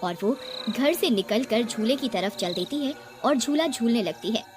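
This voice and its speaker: A woman's voice: a little high, expressive, moderate pace, over loud music. A woman narrator reading a scripted horror story.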